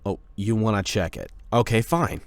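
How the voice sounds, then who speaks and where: Man acting a low-voiced, brusque tsundere delinquent boy. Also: moderate pace, creaky. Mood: impatient, brusque. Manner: brusque, exasperated, defensive, with sighs. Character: gruff tsundere teenage male delinquent, impatient, secretly caring. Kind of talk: anime boyfriend roleplay audio acting.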